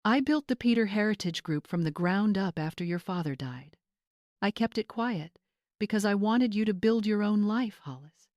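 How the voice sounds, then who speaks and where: A woman's voice: mid-range voice, animated, normal speed, a little mellow, no room sound, clean studio sound, pitched above the performer's own voice, natural voice. A woman voicing a wealthy older mother in a dramatic story, controlled, stern and unflinching. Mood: solemn, steely.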